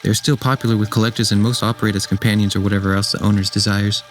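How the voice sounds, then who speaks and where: Man as a quick-talking, kindly android tinkerer. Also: lowish voice, flat delivery, slight background noise, lightly acted. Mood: fascinated. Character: tender, smitten male android tinkerer, kindly uncle. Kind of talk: radio drama acting.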